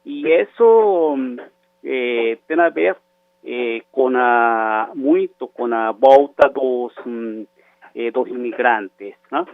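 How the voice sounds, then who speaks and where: Slow-spoken Venezuelan man on a phone line. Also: mid-range voice, dry recording. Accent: Venezuelan Spanish. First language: Spanish. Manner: halting, searching for words. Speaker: professor, interview guest. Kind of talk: explanatory interview answers. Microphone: phone call.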